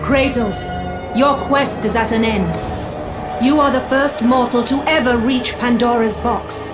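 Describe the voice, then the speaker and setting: An actress playing a solemn, commanding goddess in a video game, speaking in formal imperatives. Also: female voice, on the high side, unhurried, over music, natural voice. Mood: solemn urging. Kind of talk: dramatic video game acting.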